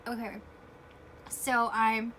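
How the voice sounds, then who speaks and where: A woman in her twenties chatting casually through a makeup tutorial, self-deprecating and often clearing her throat, recorded on her phone at home. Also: on the high side, monotone, extremely slow, sharp tone, slight background noise. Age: 20s. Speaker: beauty vlogger. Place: home room with air conditioner. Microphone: phone camera.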